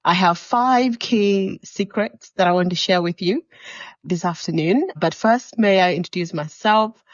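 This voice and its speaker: A woman's voice: fairly low pitch, unhurried, dry recording, highs cut off. A woman from Uganda who works as a motivational speaker and coach, giving a live-streamed talk in a conversational, encouraging way and speaking straight to her listeners. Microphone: video call or livestream mic.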